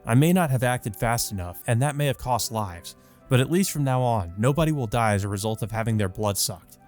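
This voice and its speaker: A man's voice: mid-range voice, animated, fairly quick, a little mellow. A man reading first-person visual novel narration aloud as a let's player. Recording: music behind the voice. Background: music.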